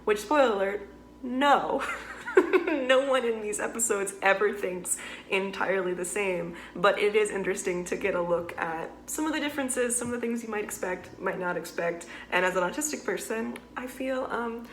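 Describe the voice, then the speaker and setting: Young woman host, casual reaction commentary, talkative with lots of laughs, mid-pitched voice, faint noise behind. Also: a bit bright. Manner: chatty, laughs often, quick interjections.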